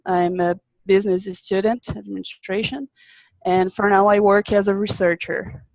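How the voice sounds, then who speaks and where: A young woman from Brazil, a business student, presenting a webinar in a halting way, often repeating words as she searches for them. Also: medium pitch, flat delivery, gravelly, darkish tone, no room sound.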